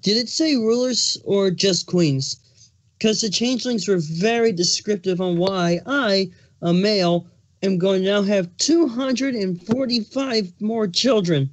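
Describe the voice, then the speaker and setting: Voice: mid-range voice, a little slow, close to an everyday voice, poor audio. Pregnant male changeling king, acted by a fan reader: hormonal, exasperated, emphatic, foul-mouthed cartoon monarch. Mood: flustered, explaining. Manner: ranting, exasperated, emphatic swearing. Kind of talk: fan fiction dramatic reading, cartoon characters.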